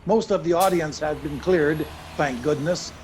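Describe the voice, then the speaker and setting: A man's voice: on the high side, measured pace, a little mellow, reverberant, slight background noise, close to an everyday voice. A man acting an earnest, urgent Scotland Yard special agent in a live radio play. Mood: shaken.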